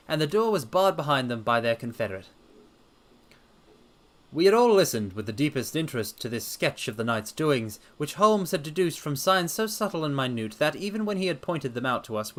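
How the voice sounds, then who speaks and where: Theatrical man with animated, swooping pitch. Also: fairly quick. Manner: theatrical, grandiose. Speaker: streamer and story reader. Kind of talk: dramatic scripted narration with theatrical asides.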